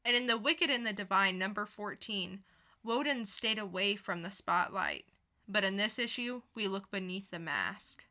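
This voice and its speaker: Very bright-voiced woman podcast co-host. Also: medium pitch, moderate pace. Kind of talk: casual comic review chat.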